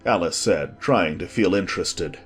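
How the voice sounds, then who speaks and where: A man's voice: sits low, expressive, normal speed, husky. A man narrating a dramatized audiobook in scripted storytelling style, with music behind him.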